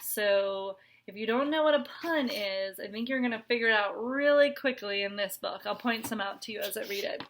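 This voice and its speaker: A woman's voice: mid-range voice, normal speed, hardly any pauses, a bit bright, slight background noise. A woman reading a picture book aloud to children, adding chatty, explanatory asides as a teacher would.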